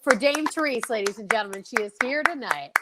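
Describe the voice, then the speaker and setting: Woman host, fairly high voice, informal, teasing, hype-style announcing, unscripted. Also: lively intonation, brisk, very noisy. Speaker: host of an online radio-play show. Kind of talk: lively unscripted show hosting.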